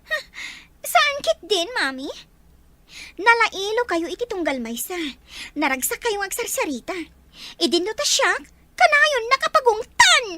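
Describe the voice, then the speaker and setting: A young Ilocano schoolgirl in a radio drama, jealous and always pleading her case. Her voice is extremely high and swings widely in melody. She is melodramatic and whining, with a scheming streak and an Ilocano accent. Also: big pitch swings, a little slow, bright, forceful tone, a little hiss or hum, heavily acted. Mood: hurt, pleading.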